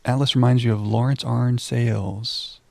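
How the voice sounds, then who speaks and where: A man's voice: medium pitch, fairly even intonation, normal speed, gravelly, mellow, no room sound. A man hosting a game livestream, chatting casually and self-deprecatingly with viewers and reading on-screen text aloud into a close microphone.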